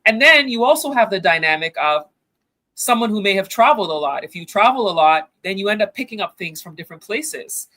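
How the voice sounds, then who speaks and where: A woman's voice: medium pitch, expressive, brisk, sharp tone. A woman with a Canadian accent, born in Ghana and raised in Canada, giving earnest, emphatic commentary on a video call. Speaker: co-host. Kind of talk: news commentary chat.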